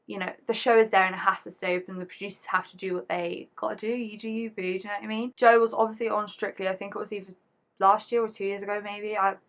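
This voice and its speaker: A young woman in her twenties, chatting casually to camera as a YouTuber, her voice deeper than usual after being ill. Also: fairly bright tone. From England. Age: 20s. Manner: chatty, rambling, casual slang.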